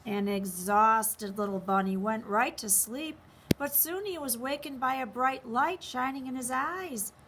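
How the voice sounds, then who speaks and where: A woman's voice: fairly high pitch, measured pace, background noise. A woman reading a children's picture book aloud expressively, speaking directly to young listeners. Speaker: story reader.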